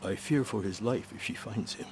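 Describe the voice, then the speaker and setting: An actor playing a middle-aged monk in a video game, gentle and deliberate, with a creaky voice. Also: male voice, lowish voice, background noise, natural voice. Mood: concerned. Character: calm, protective middle-aged Buddhist monk. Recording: dialogue over game ambience.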